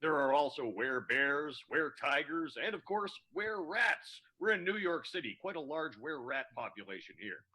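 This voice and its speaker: A folksy werewolf teacher, played by a man, with a bright but husky voice that swings around in pitch. He explains things patiently and chattily, in radio-play style with music behind him. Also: sing-song, moderate pace, few pauses, full character voice. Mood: patient, instructive. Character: male werewolf, folksy patient mentor of lycanthropy. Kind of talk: improvised radio play acting.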